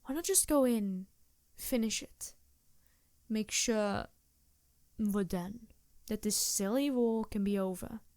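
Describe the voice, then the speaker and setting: A woman's voice: medium pitch, takes it slowly, long pauses, mellow, no room sound, clean studio sound. A woman playing a vain, seductive succubus demon in an ASMR roleplay, languid, teasing and theatrical. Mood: exasperated, scheming. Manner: languid, flirtatious, theatrical, sighing. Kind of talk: ASMR roleplay, improvised character acting.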